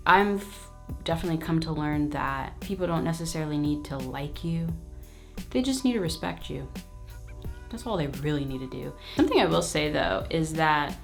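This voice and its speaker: A woman with a low, full speaking voice, talking straight to her viewers in an easygoing vlog Q&A. Her manner is frank and casual, with plenty of slang and asides. Faint music sits underneath. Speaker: YouTube host.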